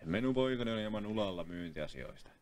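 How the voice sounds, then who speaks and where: Man dubbing a bossy, animated pawnbroker. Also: fairly low pitch, lightly acted. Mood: exasperated confiding. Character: shrewd, bossy male pawnbroker haggling over prices. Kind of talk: comic amateur dub of a reality show.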